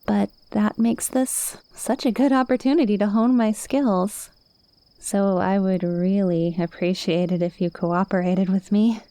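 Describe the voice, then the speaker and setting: A woman's voice: mid-range voice, fairly even intonation, measured pace, mellow, smooth, no room sound, close to an everyday voice. A woman playing a cute, playful witch in an ASMR roleplay, speaking confidently and coaxingly straight to the listener. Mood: earnest, coaxing. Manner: conversational, teasing, coaxing.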